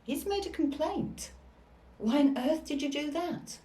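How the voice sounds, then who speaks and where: An actress playing a formal, authoritative hospital matron with a brisk, questioning manner in a scripted drama. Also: female voice, high-pitched, expressive, mellow. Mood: incredulous, affronted. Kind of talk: scripted stage-drama acting. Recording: distant mic in echoey room.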